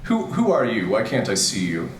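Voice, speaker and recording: A man playing a bewildered, weary man in a naturalistic audio drama, hesitant and halting. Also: lowish voice, huge pitch swings, echoey room, a little hiss or hum, poor audio. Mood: alarmed. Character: bewildered, weary dead man revived inside a simulation.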